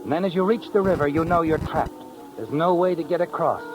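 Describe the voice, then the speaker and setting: Male actor as American 1950s radio suspense storyteller, higher-pitched, mocking, pausing for drama, heavy music underneath. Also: close to an everyday voice, poor audio. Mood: taunting, tense. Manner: taunting second-person address with dramatic pauses. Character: mocking, ominous male storyteller of a crime thriller. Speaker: narrator.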